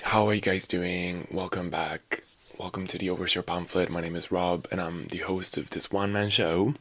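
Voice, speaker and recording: A man in his twenties with a low, hoarse, raspy morning voice, chatting casually and rambling with sassy asides as a solo podcast host. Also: fairly even intonation, normal speed, fairly bright tone. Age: 20s. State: hoarse after a night out.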